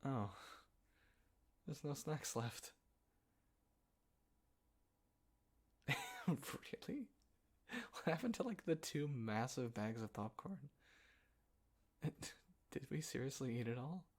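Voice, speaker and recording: A man acting an easygoing, playful young guy in a casual roleplay audio, talking in a halting, chuckling, naturalistic way with a friend. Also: mid-range voice, animated, darkish tone. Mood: amused disbelief. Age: young adult.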